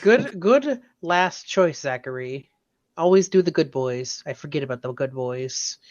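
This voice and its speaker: A man's voice: on the high side, lively intonation, measured pace, dry recording. Dull, dry-sounding playful male podcaster. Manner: playful, joking. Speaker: podcast co-host. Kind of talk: casual bantering chat.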